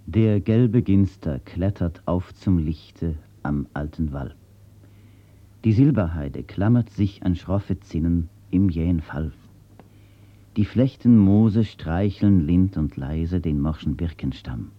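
A man, a writer, reading his own words and reciting nature poetry in a slow, measured, solemn way, from an old archive recording. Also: low-pitched, animated, highs cut off. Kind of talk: poetry recitation and read-out statements.

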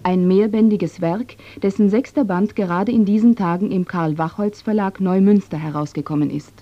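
Woman reading a radio feature calmly, muffled old broadcast sound. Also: medium pitch, normal speed, dry recording. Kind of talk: scripted narration. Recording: dry, dull lo-fi broadcast recording.

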